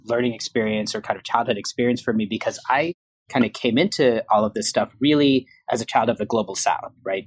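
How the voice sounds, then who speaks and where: A man's voice: fairly low pitch, husky, rough recording. A man in his late thirties, a guest and law professor, speaking reflectively in a podcast interview with many fillers and restarts. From New York, raised in Bangkok.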